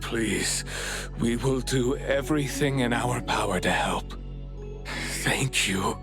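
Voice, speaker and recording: A man's voice: medium pitch, takes it slowly, lightly acted. An actor playing a worried, polite father of a missing boy in a video game cutscene, earnest and anxious. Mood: polite, pleading. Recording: background music under the voice.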